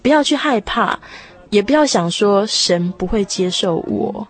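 A woman's voice: mid-range voice, animated, measured pace. A woman hosting a conversational religious talk in an earnest, reassuring manner. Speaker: radio show host.

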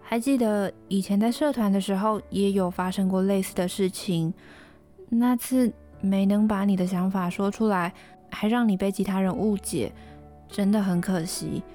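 A woman's voice: mid-range voice, steady tone, moderate pace, mellow, faint music behind, close to an everyday voice. An actress with a Taiwanese Mandarin accent playing a caring, thoughtful young woman dorm mate in a radio drama. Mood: gentle, earnest. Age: young adult.

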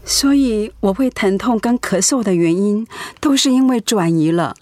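An actress playing a weary, devout middle-aged pastor's wife in a radio drama, ailing but calm and accepting. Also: female voice, mid-range voice, moderate pace, no room sound, close to an everyday voice. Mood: concerned. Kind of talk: Mandarin radio drama acting.

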